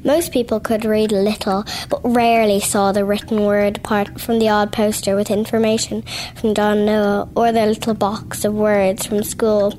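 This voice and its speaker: A woman's voice: mid-range voice, level tone, normal speed, a little mellow, slight background noise, poor audio. Woman reading a novel aloud. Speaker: reader.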